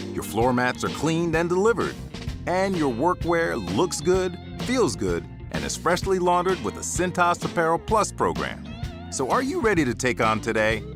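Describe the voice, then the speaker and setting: Lively male ad announcer over guitar. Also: a little high. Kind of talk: upbeat radio commercial read. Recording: ad with music underneath. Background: guitar music.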